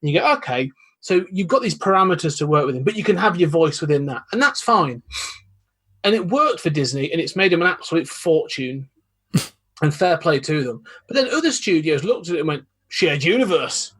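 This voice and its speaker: A man, a guest on a casual podcast chat, talking in a thoughtful, measured way with many asides. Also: on the high side, big pitch swings, fairly bright tone, breathy edge. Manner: thoughtful, measured, hedging with "you know".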